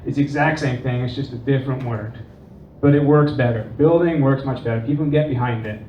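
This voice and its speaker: A man with a Dutch accent, giving an animated conference talk and acting out quoted dialogue. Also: mid-range voice, quick pace. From Netherlands and England. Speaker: conference presenter. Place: conference room. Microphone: distant room recording. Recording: distant, roomy, dull with faint noise.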